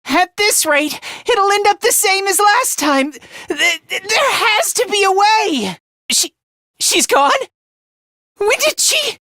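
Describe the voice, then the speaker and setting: Shy self-deprecating anime game boy, high stammery voice, really up and down, dry close sound. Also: sing-song, slow, pauses often, a bit bright, dry recording, exaggerated voice. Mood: nervous, resolute. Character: timid, self-deprecating, excitable teenage boy. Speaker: voice actor. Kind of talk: theatrical anime-style game acting.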